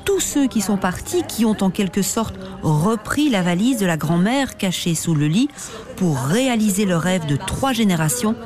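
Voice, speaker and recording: A woman reporter narrates a radio feature in a measured, composed way. Faint music plays softly beneath her voice. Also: medium pitch, lively intonation.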